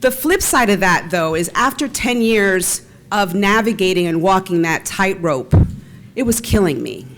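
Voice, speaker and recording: A woman panelist and former chief executive speaking frankly and emphatically, often checking in with "right?". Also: medium pitch. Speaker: panelist, former nonprofit CEO. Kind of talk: panel discussion.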